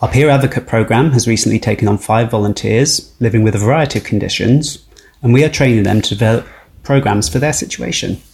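A man's voice: medium pitch, a little mellow. A man delivering a scripted promotional announcement as a spokesperson for a charity.

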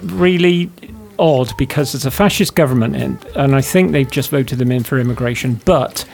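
A person chatting on a radio show, making dry, wry remarks. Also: female voice, rumbling low, normal speed, gravelly, darkish tone. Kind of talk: casual chat.

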